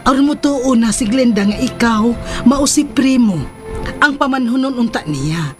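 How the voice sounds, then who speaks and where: Radio drama actress as gentle, supportive mother, slightly high voice, faint music underneath. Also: lively intonation, moderate pace, natural voice. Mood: consoling. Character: patient, supportive mother of a grown son.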